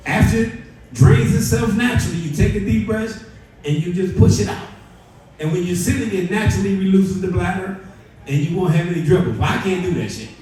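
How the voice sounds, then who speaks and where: A man with a raised voice tells a crude, deadpan story on stage and throws a few questions to the crowd. The sound comes from a distance, with strong echo from the club room. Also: sits high. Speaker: comedy show host. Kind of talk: live stand-up comedy. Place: comedy club at a bar and grill. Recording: echoey club recorded from far off.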